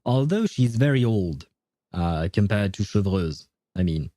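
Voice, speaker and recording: A man's voice: lowish voice, lively intonation, measured pace, dark tone, dry recording. A man hosting a gaming livestream, chatting casually and rambling as he thinks aloud. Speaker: livestream host, gamer. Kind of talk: casual livestream chat and game advice.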